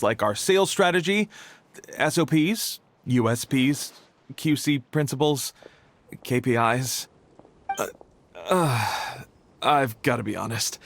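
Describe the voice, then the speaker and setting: A man's voice: medium pitch, a bit bright, lightly acted. A male actor playing an affable, humble shop assistant in a video game, easygoing and slightly awkward. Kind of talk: video game cutscene acting.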